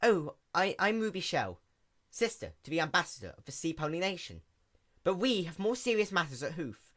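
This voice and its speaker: A woman's voice: on the low side, big pitch swings, moderate pace, voice pushed up. A woman voicing a nerdy young female sea pony mechanic who explains things plainly in a story reading. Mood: matter-of-fact, earnest.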